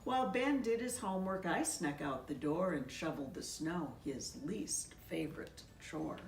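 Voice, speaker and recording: A woman pastor reading a children's story aloud in a measured, gently expressive storybook delivery. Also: fairly low pitch. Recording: echoey room, distant mic, faint noise.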